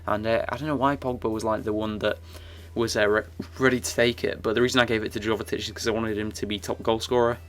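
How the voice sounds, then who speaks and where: A young man giving casual, rambling commentary over his football game footage, his voice croaky and full of fillers and restarts. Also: on the low side, level tone, fast, few pauses. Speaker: gaming YouTuber.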